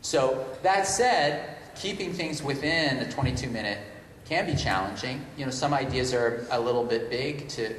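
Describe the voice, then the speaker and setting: A man answering as a TV writer on a convention panel, thinking aloud in a halting way with many restarts. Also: medium pitch, a bit bright. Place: large convention panel room. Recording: echoey hall with noise.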